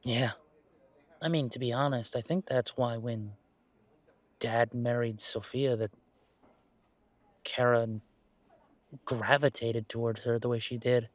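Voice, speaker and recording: A man playing a laid-back young werewolf gamer in an intimate audio roleplay, chatting in a wry, halting, casual way. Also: medium pitch, fairly even intonation, no echo, close to an everyday voice. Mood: wistful, subdued. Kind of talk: intimate roleplay audio, naturalistic one-sided conversation.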